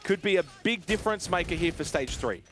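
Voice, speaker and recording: A man casting a live esports match, calling the action in quick play-by-play that jumps up to shouts in big moments. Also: high-pitched, animated.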